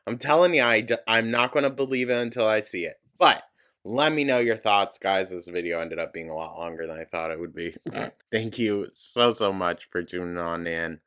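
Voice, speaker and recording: A man with a very low but bright, forceful voice, hosting a reaction channel. He comments with dry, mocking sarcasm. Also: moderate pace, clean tone.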